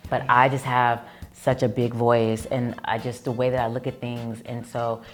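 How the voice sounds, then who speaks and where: A woman actress and producer, chatting as an interview guest, telling stories with lively acted-out quotes. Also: very deep voice, moderate pace. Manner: animated storyteller who acts out quoted lines. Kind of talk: casual interview chat.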